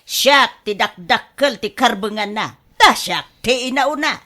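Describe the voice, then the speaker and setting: Slow-talking, grasping middle daughter figure. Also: female voice, on the high side, animated, sharp tone. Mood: insistent. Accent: Ilocano. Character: grasping, argumentative eldest daughter, sweetly grateful when given money. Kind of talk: radio drama acting.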